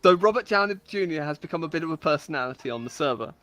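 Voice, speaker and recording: A woman's voice: sits low, expressive, rapid-fire, fairly bright tone. A woman storyteller giving a dramatic, mock-epic reading of comic fantasy stories, with amused asides. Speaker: storyteller on a game server.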